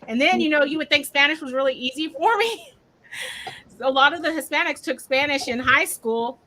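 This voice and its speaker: A woman's voice: high-pitched, fairly quick, sharp tone, a little hiss or hum. A woman co-hosting a casual live panel chat, talking freely and laughing often. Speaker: co-host.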